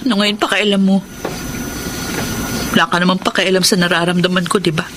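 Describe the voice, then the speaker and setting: An actress playing a heartbroken, jealous and bitter betrayed wife in a Filipino radio drama, with an emotional, aching delivery. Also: female voice, on the low side, fairly even intonation, fairly quick, noisy background, lightly acted. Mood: bitter, sarcastic. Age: adult. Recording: prominent music under the voice.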